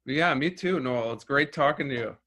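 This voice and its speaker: Guy whose first language was russian, bright forward voice, rambly "you know" interview chat. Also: medium pitch, moderate pace. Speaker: filmmaker guest. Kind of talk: casual interview talk.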